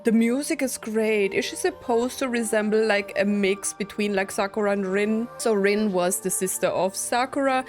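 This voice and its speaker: A woman's voice: medium pitch, normal speed, few pauses. A woman streamer giving casual, chatty, giggly commentary as she plays a story game. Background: game music.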